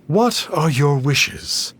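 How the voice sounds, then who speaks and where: An actor playing a self-important, mysterious sage in a video game, pompous and archaic, putting on lofty airs. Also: male voice, a little high, lively intonation, drawn-out words, fairly bright tone, a little hiss or hum, exaggerated voice. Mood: grandiose. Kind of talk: video game cutscene dialogue.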